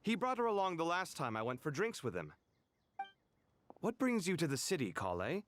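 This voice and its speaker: A man voicing a principled, cultured young merchant lord in a video game, articulate and expressive. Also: a little high, normal speed, slight background noise. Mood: curious, concerned.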